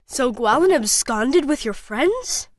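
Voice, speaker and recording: A woman's voice: sits high, expressive, slow, no echo, studio quality, full character voice. A woman voicing a small, earnest, curious child in an audio fantasy story. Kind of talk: audio storybook character acting. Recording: music behind.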